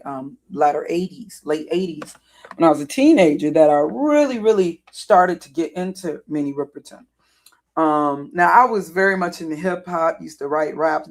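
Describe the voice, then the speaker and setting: A woman in her fifties with a deep contralto voice that sounds worn and raspy, telling personal stories to camera in a rambling, digressive way. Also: a little mellow. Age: 50s. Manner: rambling and digressive, with frequent self-corrections. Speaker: vlogger. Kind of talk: casual personal storytelling to camera.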